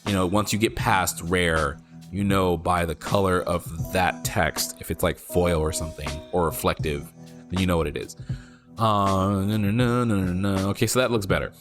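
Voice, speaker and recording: A man's voice: deep voice, normal speed. Man reacting to card art, music under. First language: not English. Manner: thinking aloud, rereads lines, sudden excited outbursts. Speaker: card game content creator. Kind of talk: casual commentary reacting to and reading an article.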